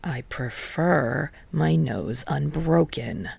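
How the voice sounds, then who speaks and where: A woman voicing a dry, aloof, sardonic teenage boy in an audiobook, with an unbothered deadpan delivery. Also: deep voice, slow pace, creaky, husky, no room sound, voice pushed down, exaggerated voice. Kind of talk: audiobook character voice.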